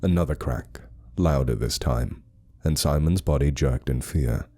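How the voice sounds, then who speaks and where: A man's voice: extremely low pitch, a little slow, warm tone, soft background music. A man narrating a scripted horror story with suspenseful, dramatic delivery.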